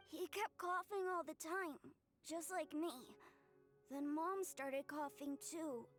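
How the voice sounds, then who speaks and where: A woman's voice: high-pitched, fairly bright tone. A woman voicing a small, innocent, hopeful orphan boy with a childlike manner in a video game. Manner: childlike, unhurried. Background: music.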